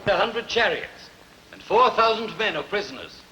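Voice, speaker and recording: An actor playing a formal royal herald in a dubbed ancient epic, proclaiming in a declamatory, ceremonial way. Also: male voice, high voice, expressive, moderate pace, sharp tone, echoey room, slight background noise, low-quality recording. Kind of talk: dubbed historical epic film acting.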